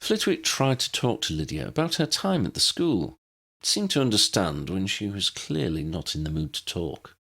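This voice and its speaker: A man narrates a scripted story in a low, gravelly voice. His delivery is expressive and dramatic, swinging widely in pitch, with a husky edge to the tone. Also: normal speed. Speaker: audiobook narrator reading fan fiction.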